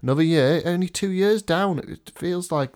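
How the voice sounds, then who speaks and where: A man's voice: high-pitched, normal speed, darkish tone. A man hosting a casual podcast with a blocked nose from a cold, chatty and self-deprecating. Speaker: podcast host. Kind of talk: casual podcast chat with a scripted intro.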